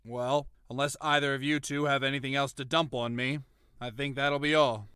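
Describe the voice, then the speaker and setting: Terse, bright-voiced small-town sheriff, played by a man. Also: medium pitch, steady tone, a little hiss or hum, close to an everyday voice. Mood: weary. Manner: terse, measured, dry. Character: stern, weary small-town sheriff, guarded and authoritative. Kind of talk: audio drama acting.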